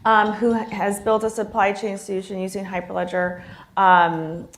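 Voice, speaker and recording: A woman on a conference panel explains her answer step by step. She pauses often on fillers as she thinks. Her voice sits at a middle pitch. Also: level tone, slight background noise. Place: conference hall.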